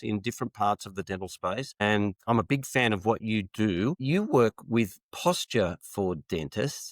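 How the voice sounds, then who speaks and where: Low-voiced older host. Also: male voice, no echo. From Australia. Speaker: podcast host. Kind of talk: interview.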